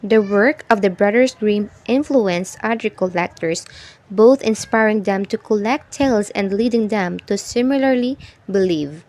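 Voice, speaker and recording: A woman's voice: medium pitch, moderate pace, darkish tone. A woman reading a scripted educational narration aloud in a formal manner. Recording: noticeable background noise.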